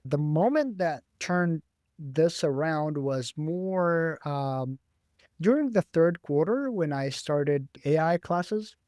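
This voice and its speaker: A young man whose first language is Arabic, speaking thoughtfully as a graduate student guest in a career interview, with frequent "uh" pauses. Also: a little high, expressive, a little mellow, no echo, studio quality.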